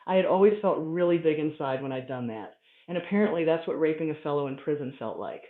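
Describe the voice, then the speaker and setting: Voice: medium pitch, expressive, fast talker. A person reading a humorous first-person story aloud as scripted narration. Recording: echoey room, thin phone-like sound.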